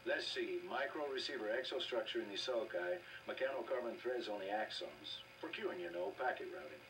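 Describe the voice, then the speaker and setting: An actor playing an easygoing, wry doctor in a video game, in a conversational, explanatory manner. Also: male voice, lowish voice, animated, a little fast, a bit bright. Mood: explaining. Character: easygoing, wry male army-agency doctor. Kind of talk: video game dialogue acting. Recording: game audio filmed off a TV, distant, hissy.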